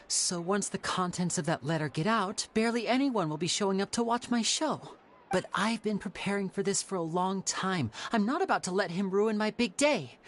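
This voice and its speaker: A woman playing a suave, theatrical young male stage magician in an anime game, smooth and showmanlike. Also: mid-range voice, slight background noise, natural voice. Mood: determined. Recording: music playing behind.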